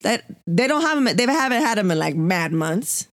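A woman's voice: medium pitch, very animated, normal speed, dry recording, clean studio sound. A woman in her thirties from San Diego, co-hosting a podcast in casual chat, slangy and laughing often. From South Bay San Diego. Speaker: podcast co-host.

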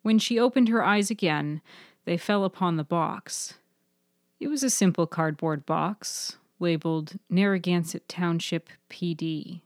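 Lower-pitched woman narrating fiction, dry recording. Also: measured pace, no echo. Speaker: writer-narrator of fiction podcast. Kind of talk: scripted literary narration.

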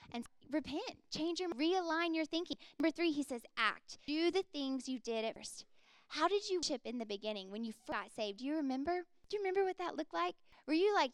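A woman's voice: high-pitched, no room sound. A woman in her thirties preaching a sermon in an earnest, impassioned way. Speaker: speaker.

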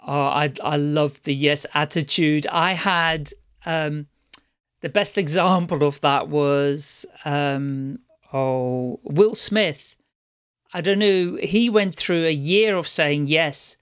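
A man's voice: a little high, takes it slowly, fairly bright tone, clean tone. A man hosting a podcast, chatting casually in a friendly, hesitant way and laughing easily. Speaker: podcast host.

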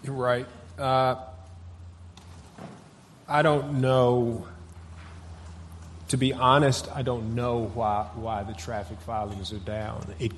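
A man answering council members at a public meeting, measured and deferential. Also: medium pitch, flat delivery, long reverb tail, a little hiss or hum. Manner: measured, deferential, says yes ma'am. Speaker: city official answering council. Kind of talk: formal testimony at a public meeting.

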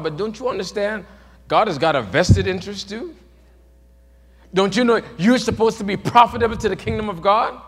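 High-voiced man preaching in a hall. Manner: swings from soft to shouted, rising to emphatic peaks. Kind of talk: sermon.

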